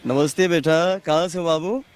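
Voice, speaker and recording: A man's voice: on the high side, moderate pace. A man with a Bhojpuri accent in casual chat that slips into swaggering, film-style dialogue, full of familiar endearments.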